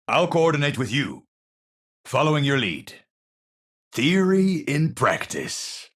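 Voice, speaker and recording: An actor playing a cerebral, haunted, middle-aged scientist in a video game, speaking formally and precisely with theatrical, pensive phrasing. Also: male voice, medium pitch, fairly bright tone, husky, exaggerated voice. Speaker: video game hero character. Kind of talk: scripted game voice lines, theatrical.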